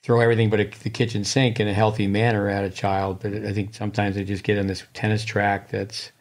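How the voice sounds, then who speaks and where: A man's voice: fairly low pitch, little pitch movement, fast. A man co-hosting a podcast interview, chatting conversationally and thinking aloud about youth sports. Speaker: podcast co-host. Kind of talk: casual interview talk. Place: podcast studio. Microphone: studio mic with headphones.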